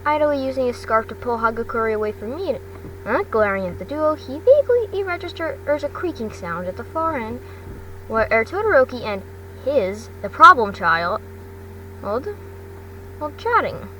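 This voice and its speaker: A woman narrating a story in an amateur audiobook style, with a halting delivery full of stumbles and self-corrections. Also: a little high, sing-song, bright, forceful tone, far from the mic, slight background noise, rough recording. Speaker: fan fiction reader.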